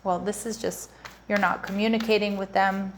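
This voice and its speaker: A woman with a croaky voice from a cold, giving a casual, chatty tarot reading and often addressing her listeners directly. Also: mid-range voice, fairly even intonation, normal speed, a little hiss or hum. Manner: frequent restarts and fillers, warm addressing. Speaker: tarot reader.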